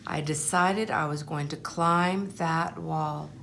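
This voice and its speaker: A woman voicing a humble, anxious but determined egg telling his life story in a storybook, in an earnest, measured way. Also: low-pitched, fairly even intonation, creaky, a bit bright, slight background noise, pitched below the performer's own voice, full character voice. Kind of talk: storybook first-person narration.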